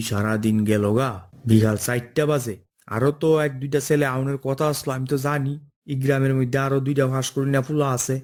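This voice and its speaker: A man's voice: medium pitch, darkish tone, close to an everyday voice. A man voicing a firm, fair-minded forest officer in a cartoon, authoritative and matter-of-fact, with an eastern Bengali dialect. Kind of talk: cartoon dialogue.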